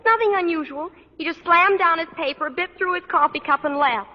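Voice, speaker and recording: A woman's voice: very high-pitched, a little fast. A woman playing a sweet, polite teenage schoolgirl with a gushing manner in an old radio sitcom. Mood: matter-of-fact. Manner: polite, bright-eyed, gushing. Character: sweet, flattering teenage schoolgirl, principal's daughter. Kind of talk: 1940s-50s radio sitcom acting. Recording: muffled reverberant old radio, studio audience.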